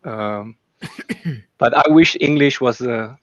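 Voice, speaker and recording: A man whose first language is Afrikaans, speaking English haltingly in a casual debate on a call. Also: mid-range voice, no room sound, dull audio. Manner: hesitant, halting, laughs nervously.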